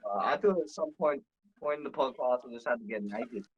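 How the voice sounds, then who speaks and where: A man's voice: medium pitch, a little fast, husky, muffled recording. A man on a casual podcast, joking and swearing, who also reads his poetry. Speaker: podcast guest reading own poem.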